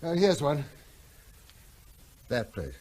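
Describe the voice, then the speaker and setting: An actor playing a grieving father in a radio drama, quiet and hesitant. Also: a little high, huge pitch swings, takes it slowly, slight background noise, heavily acted. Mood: subdued.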